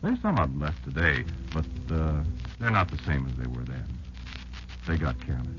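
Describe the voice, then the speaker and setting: Very deep-voiced, wary old creature. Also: male voice, sing-song. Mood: bitter, wry. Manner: confiding, folksy, deliberate dramatic pauses. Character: wary old insect elder telling a child grim survival tales. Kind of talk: old-time radio drama monologue. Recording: dull lo-fi old radio recording. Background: prominent music.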